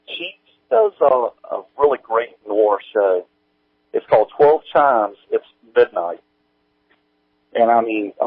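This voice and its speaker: A man speaking as an interview guest, chatting casually in a halting, folksy, informal way. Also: on the low side, no room sound. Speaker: guest, audio drama creator. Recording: tinny, phone-like band-limited line.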